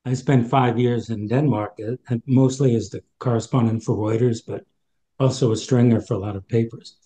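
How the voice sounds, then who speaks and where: A man co-hosting an interview, sharing his own experience in a relaxed way. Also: medium pitch, normal speed. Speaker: co-host. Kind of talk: conversational interview.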